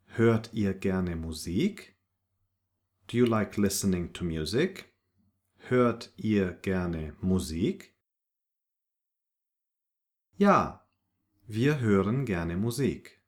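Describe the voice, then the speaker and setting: A man's voice: lowish voice, lively intonation, very slow, pauses often. A man teaching a language lesson from a script, reading short example sentences and their translations in an instructional way.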